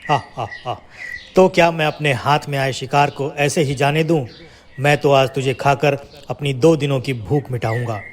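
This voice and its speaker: A man voicing a hungry, threatening male lion who boasts to his prey in a children's animated fable. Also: medium pitch, normal speed, lightly acted. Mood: mocking. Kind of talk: children's animated moral story dialogue.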